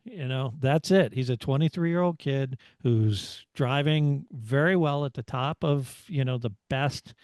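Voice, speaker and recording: A middle-aged American man co-hosting a motorsport podcast, talking through his analysis in a casual, measured way as he thinks aloud. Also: mid-range voice, a little mellow, no echo, studio quality. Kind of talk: casual race analysis chat.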